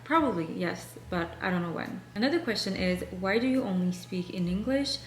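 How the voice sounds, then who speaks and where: A woman's voice: medium pitch, lively intonation, fairly quick, reverberant, slight background noise. A woman in her twenties, a native French speaker from Canada, chatting casually as a vlogger answering viewer questions. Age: 20s. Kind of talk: casual chat while decorating.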